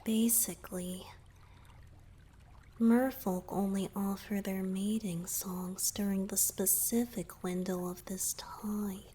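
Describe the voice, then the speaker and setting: Woman voicing a slow-spoken, flustered young merman boyfriend. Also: medium pitch, level tone, dark tone, close to an everyday voice. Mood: explaining, earnest. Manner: hesitant, flustered, with sighs and murmured asides. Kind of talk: romantic ASMR roleplay to the listener. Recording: background noise with trickling water.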